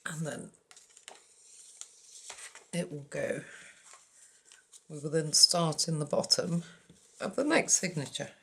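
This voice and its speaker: A woman's voice: low voice, takes it slowly, gravelly, long pauses, a little hiss or hum. A woman hosting a crafting tutorial, chatting casually and with self-deprecating asides while she works at a table. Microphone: overhead camera on the work, speaker off frame.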